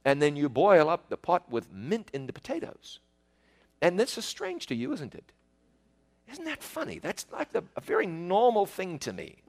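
A man's voice: high-pitched, wildly swooping pitch, moderate pace. A middle-aged man with a New Zealand accent, telling childhood stories in a folksy, chatty way and speaking straight to the listener. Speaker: storyteller. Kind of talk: casual spoken reminiscence.

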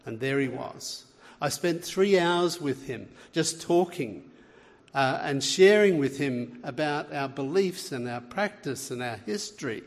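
A man's voice: a little high, animated, unhurried. A middle-aged man with an Australian accent, giving an earnest lecture to a church audience, with frequent fillers and restarts. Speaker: guest speaker, health researcher. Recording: long reverb of a large hall.

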